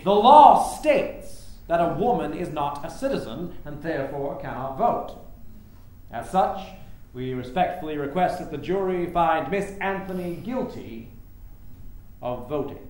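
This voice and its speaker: A man's voice: on the high side, expressive, measured pace, darkish tone. An actor playing a grandiose, self-assured, formal male orator in a staged play reading. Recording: echoey theater, faint noise.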